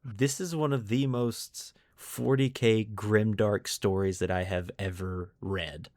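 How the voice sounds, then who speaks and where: Low-voiced man telling lore, very dry sound. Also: steady tone, slow, a little mellow, no room sound. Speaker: podcast host. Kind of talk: scripted lore narration mixed with casual commentary.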